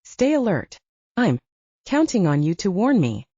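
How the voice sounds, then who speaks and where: Audiobook role: cautious, protective wizard man played by a woman, practical, varied pitch, mellow. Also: very animated, a little slow, slightly rough, no room sound, dull audio. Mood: wary. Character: wary male wizard, dutiful and protective.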